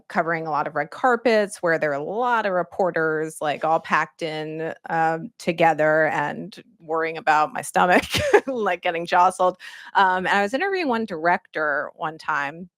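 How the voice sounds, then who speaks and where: A middle-aged woman with a lower voice shares personal stories on a conference panel. She is reflective and uses many fillers. The sound is dry and close to the microphone. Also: fairly bright tone, no room sound. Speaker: panelist, journalist. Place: conference room.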